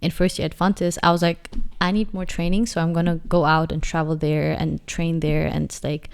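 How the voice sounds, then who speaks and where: A woman in her mid-twenties answers interview questions in a relaxed, reflective way, often pausing and restarting. Her voice sits a little low and sounds smooth and clear. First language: not English. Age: 20s. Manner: reflective, many fillers and restarts. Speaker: dancer and guest. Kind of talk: casual interview.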